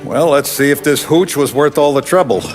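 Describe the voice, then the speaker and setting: A man playing an aging, fatherly yet authoritative mafia don in a cinematic crime video game, speaking in a measured, commanding way. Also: a little high, faint music behind, natural voice. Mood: approving.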